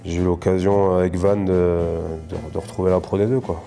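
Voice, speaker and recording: Low, flat-voiced French rugby player. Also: male voice, normal speed, vocal fry, soft background music. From northern France. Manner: casual, many fillers and restarts. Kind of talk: relaxed interview answers.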